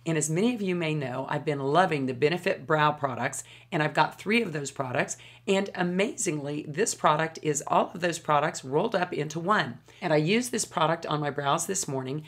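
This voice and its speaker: Hoarse, low-voiced woman in her fifties. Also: fairly bright tone. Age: 50s. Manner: chatty and enthusiastic, gestures with her hands. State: hoarse, losing her voice from a cold. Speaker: beauty vlogger. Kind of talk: casual product review to camera. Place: home studio, indoors.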